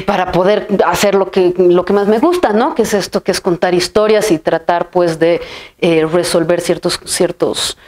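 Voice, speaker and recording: A woman's voice: fairly low pitch, darkish tone. A woman in her thirties from Bolivia, a filmmaker and writer, answers interview questions thoughtfully, often restarting her phrases and ending them with a questioning tag. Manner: thoughtful, many restarts and fillers, ends phrases with "¿no?". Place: bright room with a plain wall, seated in an armchair.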